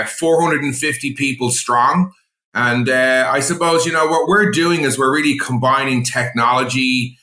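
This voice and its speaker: Irish businessman guest, lively and bright-toned, talk-heavy with fillers, recorded in an echoey room. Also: medium pitch. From Ireland. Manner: energetic, fluent business talk with frequent "you know" and "uh". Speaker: guest, payments executive. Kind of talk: podcast interview.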